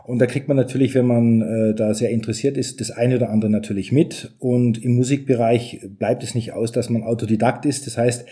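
Middle-aged man, very dark warm tone, medium pitch, chatty interview guest, explanatory, lightly joking. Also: fairly even intonation, brisk, few pauses. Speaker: recording studio owner, interview guest. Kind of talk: conversational interview answers. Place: home recording studio indoors.

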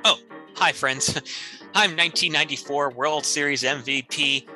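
Bright, high-voiced man improvising radio ads. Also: normal speed, soft background music. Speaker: improv comedy performer. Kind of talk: improvised parody radio commercials.

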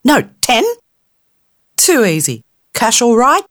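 A woman's voice: on the high side, big pitch swings, slow pace, a bit bright, pitched above the performer's own voice, heavily acted. A woman voicing a tiny rude immortal fairy, crude and impatient. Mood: cranky, cheeky.

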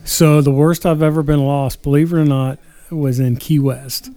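A man's voice: a little high, steady tone, moderate pace, warm tone. A middle-aged man telling a personal mishap in casual chat, plainspoken and exasperated.

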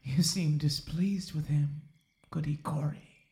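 An actor playing an uneasy, dutiful Puritan sheriff in a period audio drama, restrained and formal. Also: male voice, on the high side, fairly even intonation, slow, warm tone. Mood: restrained, coaxing. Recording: roomy, echoing sound.

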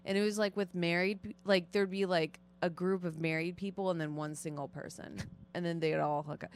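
A young woman from the Chicago suburbs talks in a loose podcast chat. Her voice sits low, and her speech is full of swearing and filler words. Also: steady tone, brisk. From Chicago North Shore suburbs. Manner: casual, swears often, lots of fillers.

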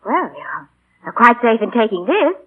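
A young woman acting in 1940s radio style as a self-assured artist, brisk, bantering and teasing. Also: fairly high pitch, sing-song, husky. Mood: brisk, amused. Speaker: radio actress. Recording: tinny, band-limited 1940s radio broadcast.

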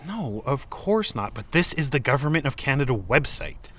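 A man's voice: mid-range voice, sing-song, moderate pace, a bit bright. An actor playing a defensive, exasperated man arguing earnestly in a naturalistic audio drama. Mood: frustrated.

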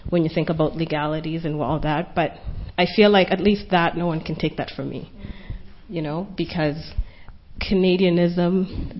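Earnest woman panelist, muffled recording. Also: on the low side. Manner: earnest, reflective, thinking aloud. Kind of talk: panel discussion. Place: conference panel. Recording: dull lo-fi conference recording.

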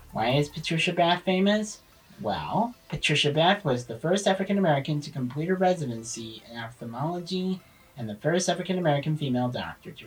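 A woman reading a scripted factual segment aloud, recorded at some distance from the microphone. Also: low voice. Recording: distant mic with faint music.

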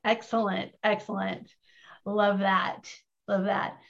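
A woman's voice: mid-range voice, level tone, slow, gravelly, a bit bright. A woman hosting a casual online training chat, upbeat and chatty, often putting questions to her audience. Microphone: video call. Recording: muffled lo-fi call audio.

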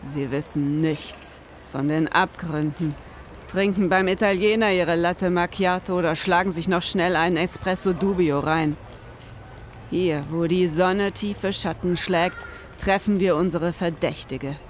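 A woman's voice: fairly low pitch, steady tone, slight background noise, close to an everyday voice. Woman putting on a brooding hard-boiled noir detective. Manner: dramatic, deliberate, brooding. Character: hard-boiled world-weary noir detective, pompous, gruff. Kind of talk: noir crime radio play narration.

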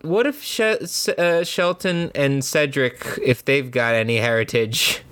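A man's voice: medium pitch, unhurried, a bit bright, smooth. A man co-hosting a casual wrestling podcast, steering the talk and bringing up each new topic. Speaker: podcast co-host.

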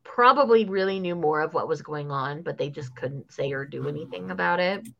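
Lower-voiced woman on a dull line. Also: fairly quick, creaky, fairly bright tone, slight background noise. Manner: enthusiastic, opinionated reader. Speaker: podcast co-host. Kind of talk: casual book discussion.

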